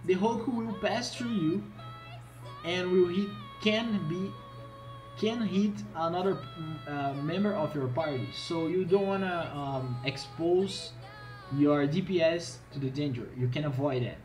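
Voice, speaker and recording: A man's voice: a little high, measured pace, a little mellow, poor audio. Brazilian-accented man over music. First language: Portuguese. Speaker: gaming video creator. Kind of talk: casual game tutorial commentary.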